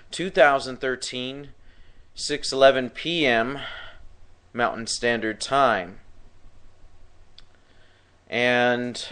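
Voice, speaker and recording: A man's voice: medium pitch, clear, resonant tone. A man hosting a live talk show who has partly lost his voice, speaking in a rambling way with many fillers and restarts. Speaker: podcast host.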